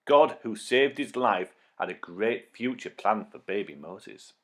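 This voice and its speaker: A man narrating a scripted children's Bible story with dramatic, expressive delivery. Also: fairly low pitch, unhurried.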